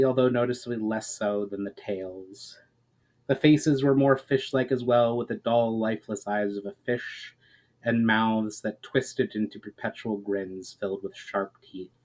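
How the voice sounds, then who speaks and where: A man reading his own fantasy story as a dramatic narration, deliberate in delivery, drawing out the ends of phrases. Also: mid-range voice, a little mellow.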